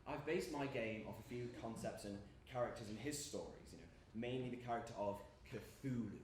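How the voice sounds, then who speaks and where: A man's voice: mid-range voice, moderate pace, natural voice. A young man acting on stage as an awkward, eager, lonely gamer, rambling and apologising nervously. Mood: awkward, friendly. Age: young adult. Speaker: actor in a stage play. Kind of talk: live stage acting, naturalistic dialogue. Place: indoor stage performance space. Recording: echoey room from far off, background noise.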